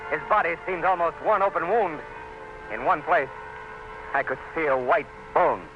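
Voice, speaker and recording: An actor playing a sober commissioner who narrates with grave, measured drama in an old-time radio play. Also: male voice, on the high side, noisy background, close to an everyday voice. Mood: grave, relieved. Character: sober male commissioner recounting a harrowing rescue. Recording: muffled vintage radio recording. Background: music.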